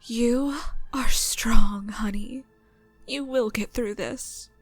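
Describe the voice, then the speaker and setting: A woman playing a gushing, gossipy schoolgirl in an animated roleplay. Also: on the high side, extremely slow, soft background music. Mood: gushing sympathy. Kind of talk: scripted animated roleplay acting.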